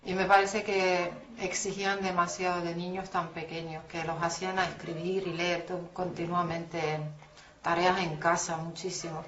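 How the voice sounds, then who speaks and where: Woman, interviewed parent: careful, flat delivery, roomy muffled sound. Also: on the low side, moderate pace, a little hiss or hum.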